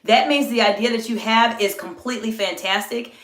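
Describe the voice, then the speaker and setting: A woman's voice: on the high side, a little fast. Middle aged woman coach on a livestream, bit under the weather, echoey room. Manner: warm greetings to viewers, coaching tone. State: under the weather, voice lower than usual. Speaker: executive communication coach. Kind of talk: live-stream talk to chat.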